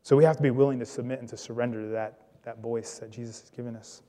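A man preaching a sermon, telling a story in a relaxed conversational way with small jokes. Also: mid-range voice, fast. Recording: reverberant hall.

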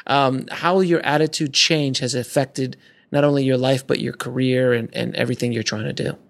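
A man's voice: medium pitch, steady tone, normal speed, vocal fry. A man hosting a casual podcast who asks long, loosely phrased questions full of fillers. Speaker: podcast host.

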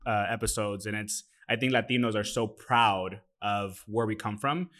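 A man's voice: lowish voice, fairly even intonation, normal speed, fairly bright tone. A man co-hosting a casual panel discussion who talks earnestly and emphatically, filling his speech with "like". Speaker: podcast co-host.

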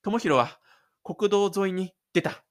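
A man's voice: high-pitched, takes it slowly, fairly bright tone. A man reading scripted third-person narration for an audio drama in a dramatic storytelling manner. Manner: dramatic storytelling with spoken sound effects.

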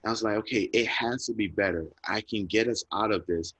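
A man's voice: fairly low pitch, a little fast, a bit bright, husky, no echo, poor audio. A man telling a personal story in an interview, earnest and reflective, growing emotional and repeating words when moved. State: emotional, near tears. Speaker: guest speaker.

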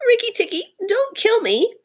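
A woman's voice: extremely high pitch, big pitch swings, normal speed, a bit bright, voice pushed up, exaggerated voice. A woman voicing a timid, sorrowful little male muskrat from a storybook, whimpering and pleading. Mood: tearful pleading. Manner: weepy, pleading, sobbing.

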